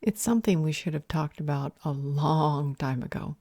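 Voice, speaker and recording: A woman acting a composed, cryptic vampire healer in an audio roleplay drama, speaking in a measured, polite and dryly sarcastic way. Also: low voice, a little mellow, no echo, studio quality. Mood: evasive, dry.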